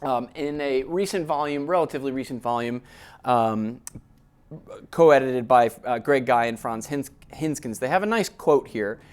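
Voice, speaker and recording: Guy giving an academic lecture, mid pitch, chatty, keeps asking okay? right?. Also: normal speed, smooth. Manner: checks in with listeners often with "okay?" and "right?"; conversational, explains step by step.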